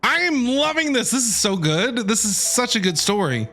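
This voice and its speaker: A man's voice: very high voice, very animated, normal speed, bright, forceful tone. A man reacting live to a game in casual, exclamatory, enthusiastic commentary.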